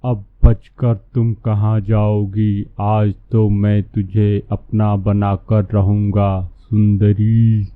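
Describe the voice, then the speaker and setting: Cartoon villain, male actor: scheming bossy fake holy man, lowish voice, narrow muffled recording. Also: flat delivery, no echo. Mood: gloating menace. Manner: commanding, slow, scolding. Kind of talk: Hindi cartoon storybook acting. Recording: muffled, phone-like narrow-band sound.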